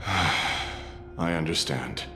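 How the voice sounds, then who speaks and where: A man playing a gruff, reluctant fox-folk warrior in a video game, speaking in a terse, curt way. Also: rumbling low, extremely slow, sharp tone, faint music behind, heavily acted. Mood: resigned. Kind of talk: scripted game dialogue.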